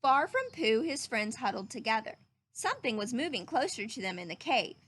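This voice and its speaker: High-pitched, animated woman reading to children. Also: moderate pace, breathy edge. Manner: animated, dramatic emphasis. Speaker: storyteller, teacher. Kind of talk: expressive children's read-aloud.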